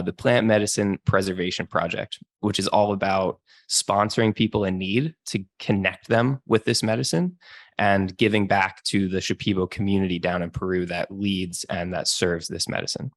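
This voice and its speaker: A young man with a very deep voice talks in a relaxed, easygoing way and laughs easily. The recording is dry and close, with no room sound around him. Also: dry recording. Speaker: podcast guest. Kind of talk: casual chat.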